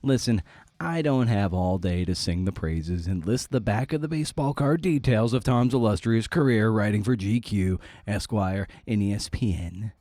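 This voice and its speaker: A man with a fairly low voice, recorded close and dry, introduces his podcast in a casual monologue. He is wry and self-deprecating, dropping in playful asides and repeating phrases for emphasis. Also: normal speed, dark tone, no echo. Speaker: podcast host.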